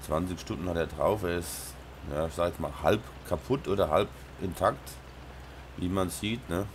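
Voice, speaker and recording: A very deep-voiced man with a Rhine-Hessian German accent talks casually over his game, his voice hoarse and stuffy from a cold. He mumbles and fills pauses with plenty of ums. A faint hiss sits under the desk-mic recording. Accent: Rhine-Hessian German dialect. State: hoarse with a sore throat and blocked nose. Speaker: let's play host. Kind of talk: casual gameplay commentary.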